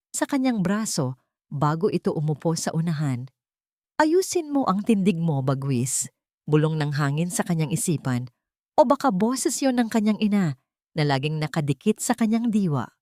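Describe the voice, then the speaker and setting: Lively dramatic woman storyteller. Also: on the low side, dry recording, studio quality. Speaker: narrator. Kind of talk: scripted dramatic story narration.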